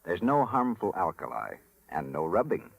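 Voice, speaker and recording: A man's voice: low-pitched, very animated, normal speed, gravelly, slightly rough, no echo, low-quality recording. An American man working as a 1930s radio announcer, delivering scripted sponsor patter in a genial, polished sales manner. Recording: vintage 1930s radio broadcast recording.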